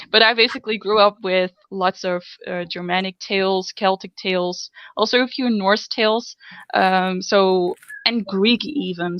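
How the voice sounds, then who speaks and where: A woman's voice: mid-range voice, no room sound. Woman, Dutch accent, young adult, casual host, self-deprecating, dry close sound, muffled. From Netherlands. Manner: chatty, self-deprecating, laughs often. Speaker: podcast host. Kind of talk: casual group podcast chat.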